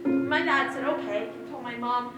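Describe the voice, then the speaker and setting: An actress plays a young girl recounting a troubling day on stage. Her voice is high and childlike, recorded from a distance in a very echoey space with loud music behind. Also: steady tone, normal speed, close to an everyday voice. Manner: plain, childlike storytelling. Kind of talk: theatrical stage monologue. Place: stage in a hall, one-act play performance.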